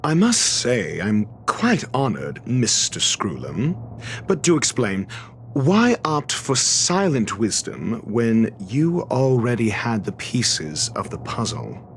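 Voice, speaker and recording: An actor playing an arrogant, fastidious scholar-doctor in a video game, speaking in a pompous, measured, formal way. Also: male voice, mid-range voice, big pitch swings, slight background noise. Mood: coolly probing. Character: arrogant, erudite male scholar-doctor, fastidious and disdainful of fools. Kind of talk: scripted video game cutscene dialogue.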